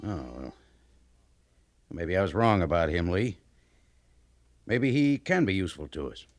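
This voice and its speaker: A man playing a curt, skeptical army major with a gruff, grudging manner in a noisy old-time radio western. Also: deep voice, sing-song, unhurried, no room sound, clean studio sound.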